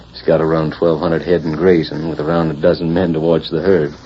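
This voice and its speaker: An actor playing a cool, calculating western outlaw boss, unhurried and smug, in 1940s radio style. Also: male voice, extremely low pitch, little pitch movement. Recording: muffled, hissy vintage radio broadcast.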